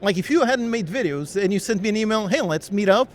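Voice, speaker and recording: A man's voice: sits high, lively intonation, fast talker. A young man chatting casually as a guest, laughing often and trailing into fillers as he thinks aloud. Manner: laughs often, hesitant fillers, quotes himself. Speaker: game dev YouTuber, guest. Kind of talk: casual conversation.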